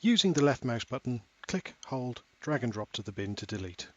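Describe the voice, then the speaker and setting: A man in his thirties, a parent from Wales, walking through a school software platform step by step in a patient, explaining voice. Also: mid-range voice, sing-song, normal speed, lo-fi sound. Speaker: parent giving a tutorial. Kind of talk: screen-recorded software tutorial.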